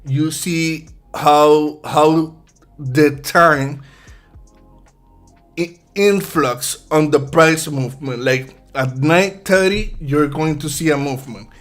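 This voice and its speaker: Slow, halting Puerto Rican man. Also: on the high side, faint music behind. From Puerto Rico. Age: 30s. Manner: halting, soft-spoken, many restarts. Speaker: futures trader guest. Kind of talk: live-stream chat while trading.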